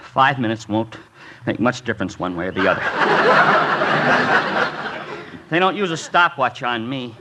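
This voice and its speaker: An actor playing an eager, hapless young college runner, out of breath, halting and good-naturedly self-deprecating in an old radio sitcom. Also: male voice, mid-range voice, sing-song. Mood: cheerful, breathless. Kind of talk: 1950s radio sitcom acting. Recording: very noisy old radio broadcast.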